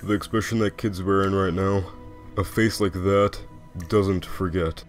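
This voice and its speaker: A man voicing a lazy, wry skeleton joker with quiet menace in a comic dub, talking in a laconic, folksy way. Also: deep voice, fairly even intonation, measured pace, soft background music. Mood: calm, resolute. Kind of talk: animated comic dub acting.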